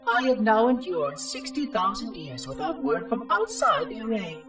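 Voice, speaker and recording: A man's voice: high voice, very animated, normal speed. A man voicing an ancient, fussy, curious robotic monitor in a video game, with precise, formal, theatrical delivery and a synthetic processed sound. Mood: solemn, regretful. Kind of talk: video game cutscene log narration. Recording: distant, echoing, music underneath. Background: music.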